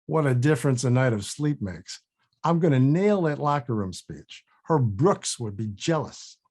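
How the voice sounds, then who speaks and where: A man's voice: medium pitch, lively intonation, normal speed, a little mellow. A man playing a sleepless older actor, wry and friendly, in a casual conversational table read. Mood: upbeat. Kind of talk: casual table read of a comedy-drama script.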